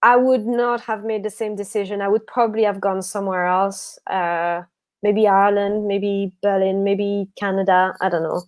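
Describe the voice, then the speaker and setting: Tired Belgian-born author chatting as a livestream guest, self-correcting, laughs often. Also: female voice, mid-range voice, level tone, moderate pace. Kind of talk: casual livestream chat.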